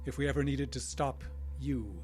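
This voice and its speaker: A man's voice: medium pitch, fairly even intonation, moderate pace, darkish tone, a little hiss or hum, lightly acted. A man acting in a radio drama as a defiant, wary older scientist held captive, guarded and resolute. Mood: defiant, explaining.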